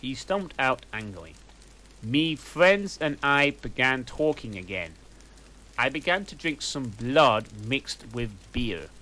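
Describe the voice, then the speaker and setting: Slow, animated man reading aloud. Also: medium pitch, low-quality recording. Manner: dry, mocking asides between lines. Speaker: reader. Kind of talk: comic reading of a fanfic. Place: at home.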